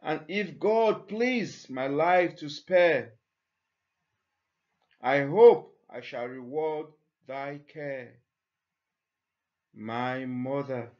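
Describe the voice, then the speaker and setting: A man speaks extremely slowly and deliberately, reciting in a poetry-reading style. His phrases are measured and set apart by long pauses. The recording is dull and a little muffled. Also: a little high, darkish tone. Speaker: church member reading a poem.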